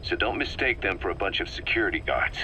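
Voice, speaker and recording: A stern mercenary officer briefs his men in a video game. His low voice has a hard, tense edge and lays out facts in a measured, authoritative way. Faint noise sits behind him. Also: animated, bright, forceful tone, heavily acted. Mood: measured, cautionary. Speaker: military intelligence briefer. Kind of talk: scripted game briefing narration.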